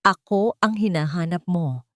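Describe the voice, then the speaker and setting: A woman's voice: fairly low pitch, moderate pace, a bit bright, dry recording, clean studio sound, lightly acted. A woman voicing a cold, proud billionaire businessman who speaks tersely, as if giving orders, in an audio drama. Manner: terse, commanding.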